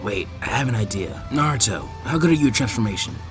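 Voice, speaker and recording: A man's voice: on the low side, animated, fast talker, fairly bright tone, soft background music, pitched below the performer's own voice. A man doing a bored, lazy teen ninja. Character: lazy, clever teenage boy ninja.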